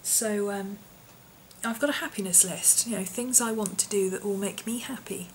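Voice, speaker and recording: A woman, her voice hoarse and husky from an infection, chatting casually in a vlog with lots of ums and small laughs. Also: medium pitch, moderate pace, slight background noise, rough recording. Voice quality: husky, often whispery. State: hoarse, voice partly lost from infection. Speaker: vlogger.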